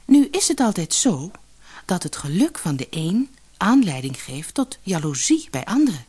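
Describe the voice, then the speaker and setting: A woman reading a fairy tale aloud as an expressive scripted storyteller. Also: fairly low pitch, moderate pace, breathy edge.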